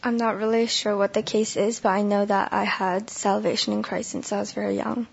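Young woman who lost her voice, raspy and flat, sharing her faith at church, bit muffled. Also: medium pitch, fairly quick. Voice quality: gravelly, husky. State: hoarse, lost voice. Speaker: baptism candidate giving testimony. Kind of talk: church testimony in short pauses for translation.

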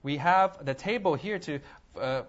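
Male sermon interpreter, lighter pitch, halting phrase-by-phrase delivery, dull lo-fi recording. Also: expressive. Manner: halting, searching for words. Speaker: church interpreter. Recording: dull, lo-fi audio.

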